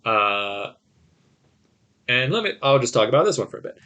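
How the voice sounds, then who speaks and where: Bright-voiced man chatting about films. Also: medium pitch, big pitch swings, moderate pace. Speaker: podcast host. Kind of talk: casual film discussion.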